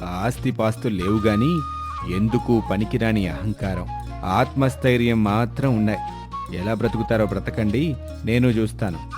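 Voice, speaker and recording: Stingy, arrogant landlord for cartoon folktale, played by a man; low pitched, berating, music underneath. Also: moderate pace, close to an everyday voice. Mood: contemptuous. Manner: haughty, berating. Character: arrogant, stingy, scolding rich male landlord. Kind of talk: moral story cartoon voice acting.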